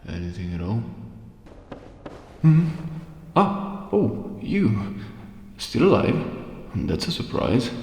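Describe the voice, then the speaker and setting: A man's voice: fairly low pitch, vocal fry, a little mellow, full character voice. A man voicing a bitter, crestfallen undead merchant from a fantasy game, sardonic and grumbling in manner. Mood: sneering, grudging. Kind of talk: fan voice acting of game dialogue. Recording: echoey long reverb, faint noise.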